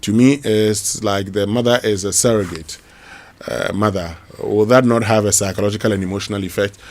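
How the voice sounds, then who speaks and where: A man with a Ghanaian English accent hosting a live morning radio show, reading sponsor ads briskly and then listener questions with fillers. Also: lowish voice, fairly even intonation. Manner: brisk promotional delivery with fillers.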